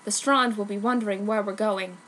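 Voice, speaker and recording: A woman's voice: medium pitch, fairly even intonation, brisk, fairly bright tone, natural voice. Woman as snarky man detective, terse, dismissive, comic story reading, noisy amateur recording. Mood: curt, snarky. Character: curt, snarky adult male detective. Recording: noticeable background noise, amateur recording.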